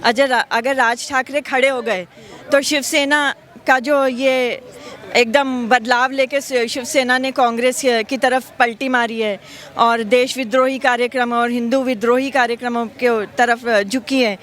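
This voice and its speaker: Female interviewee, high-pitched, assertive, giving opinion on the street. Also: steady tone, unhurried, a bit bright.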